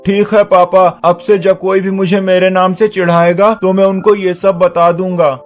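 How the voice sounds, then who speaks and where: A man's voice: high-pitched, little pitch movement, normal speed, soft background music, lightly acted. A man voicing an earnest young schoolboy with a childlike manner in a moral story. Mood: reassured. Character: earnest young schoolboy, upset about his name.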